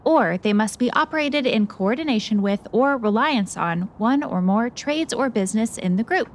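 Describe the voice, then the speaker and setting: A woman's voice: a little high, expressive, normal speed, natural voice. Woman playing a plucky investigative reporter, faint noise. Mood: matter-of-fact. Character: plucky, self-assured female investigative reporter. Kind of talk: scripted radio drama dialogue.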